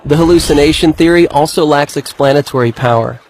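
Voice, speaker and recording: Higher-voiced earnest man acting a believer. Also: a little fast, darkish tone, natural voice. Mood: confident. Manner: explanatory, methodical, articulate. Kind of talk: scripted dialogue skit.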